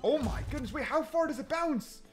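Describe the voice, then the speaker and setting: A man hosting gaming videos with casual, animated gameplay commentary, often repeating phrases for emphasis. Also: extremely high pitch, a little fast, over music.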